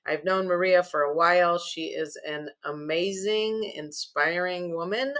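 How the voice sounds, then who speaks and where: A woman's voice: fairly low pitch, unhurried, a bit bright, smooth. A woman hosting an interview podcast, chatty, stacking up several questions at once. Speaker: podcast host. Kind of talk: casual interview.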